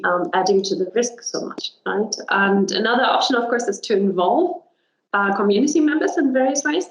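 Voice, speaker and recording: A young woman, a linguist whose first language is German, giving a webinar talk with many ums and a frequent "right?". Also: mid-range voice. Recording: roomy, dull video call audio.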